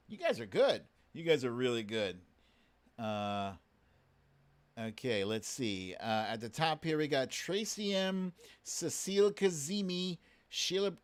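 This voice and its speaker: A man's voice: medium pitch, a bit bright, smooth. A man hosting a casual live quiz stream, bantering with viewers in an informal, mildly sweary, teasing way.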